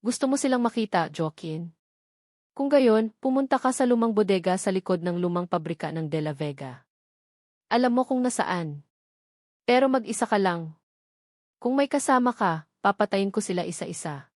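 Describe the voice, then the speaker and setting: A woman's voice: medium pitch, animated, normal speed, pauses often, darkish tone, no room sound, lightly acted. Filipina narrator doing a cold bossy kidnapper guy from a storybook drama, threatening, dry sound. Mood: menacing. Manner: threatening, commanding. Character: menacing male kidnapper making cold threats.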